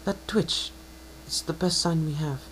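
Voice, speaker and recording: Man acting as a young female cartoon unicorn, raised high pitch, kind and helpful, noisy home recording. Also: a little slow, fairly bright tone. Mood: gentle, hopeful.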